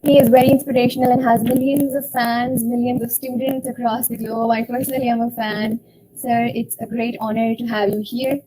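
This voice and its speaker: A young woman hosting an online student session, speaking slowly and formally as she welcomes guests. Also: on the high side, little pitch movement, mellow, a little hiss or hum, rough recording. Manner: slow, deliberate, formal phrasing. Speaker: event host for a student association.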